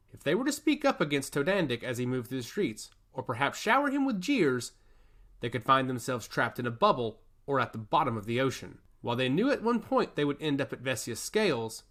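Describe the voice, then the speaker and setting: Animated male fantasy storyteller. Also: mid-range voice. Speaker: audio story narrator. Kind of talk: dramatic scripted fantasy narration.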